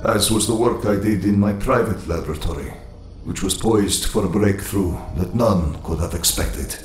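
A man playing a proud, brooding supervillain narrating his youth in a grave, measured, haughty manner in a motion comic. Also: low-pitched, roomy sound, soft background music, lightly acted. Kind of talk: scripted comic-book narration.

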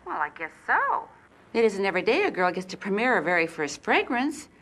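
A woman playing a catty, sharp-tongued mentor who sounds sugary and snide. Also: medium pitch, big pitch swings, fairly quick.

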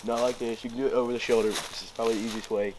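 A man's voice: mid-range voice, little pitch movement, rapid-fire. A young man giving a casual how-to explanation as a student, recorded by a camera in the woods. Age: young adult. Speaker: student demonstrating a homemade project. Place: outdoors in woods. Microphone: camera a few metres away. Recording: faint outdoor noise, camera mic.

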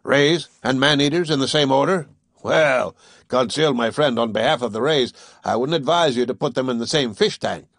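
A man's voice: mid-range voice, normal speed, a bit bright, dry recording. A man voicing a blunt, plain-spoken harpooner with dry, folksy humor. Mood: wry. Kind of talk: audiobook character voice.

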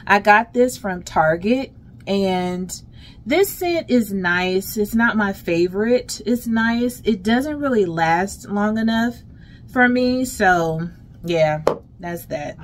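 A middle-aged American woman chatting casually and emphatically to her viewers as a lifestyle vlogger, in an unhurried way. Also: medium pitch, expressive. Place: closet at home. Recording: clear background noise, home vlog.

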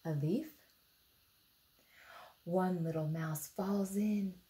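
A woman in her thirties with an American accent, reading a picture book aloud to young children expressively, pausing to ask them simple questions. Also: lowish voice, steady tone, dark tone. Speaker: storytime reader for children. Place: indoor room beside a book display.

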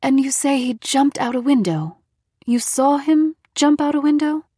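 A woman's voice: high voice, normal speed, dark tone, no echo, clean studio sound, voice pushed up, close to an everyday voice. A woman voicing a worried, skeptical and bossy middle-aged mother in an audiobook story. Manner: questioning, insistent, scolding.

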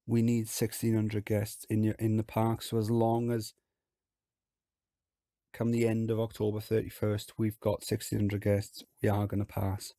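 A man with a low, gravelly, husky voice, hoarse and croaky from being unwell. He talks through his game in a relaxed, chatty way, and the sound is dry and close. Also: unhurried, no echo. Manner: relaxed, chatty, explains as he plays. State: hoarse from illness. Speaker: game video host. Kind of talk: casual game commentary.

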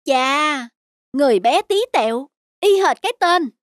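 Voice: high voice, animated, a bit bright, dry recording, studio quality. An actor voicing an arrogant, scheming young scholar in a cartoon, boastful and sneering. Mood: mocking. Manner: boastful, sneering, drawn-out taunts. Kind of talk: cartoon voice acting.

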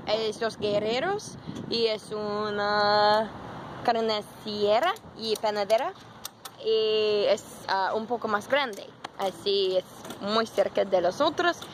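A young woman, a native English speaker, vlogging slowly and haltingly in learner Spanish while holding her camera at arm's length in a car and in shops. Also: medium pitch, fairly even intonation, background noise. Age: young adult. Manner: slow and halting, with many fillers. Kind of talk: casual vlog in learner Spanish. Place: car, restaurant, parking lot and grocery stores. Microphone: handheld camera at arm's length.

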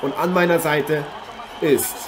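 A man's voice: on the high side, fairly even intonation, unhurried, crowd noise, close to an everyday voice. A man playing an eager, effusive TV boxing host in an improvised German audio drama. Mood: excited. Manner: effusive, formal address to viewers. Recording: noisy arena ambience behind voice.

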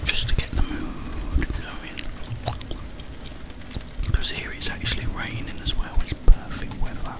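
A young man whispering gentle, unhurried ASMR gameplay commentary into a close desk microphone. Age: young adult. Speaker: gaming ASMR streamer. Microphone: close desk microphone with headphones.

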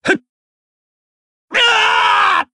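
A man playing a hot-blooded, brash young warrior in an anime game, rough and explosive. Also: extremely high pitch, level tone, very slow, no room sound, clean studio sound, full character voice. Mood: fired up. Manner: rough, explosive battle shouting. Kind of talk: anime game battle acting.

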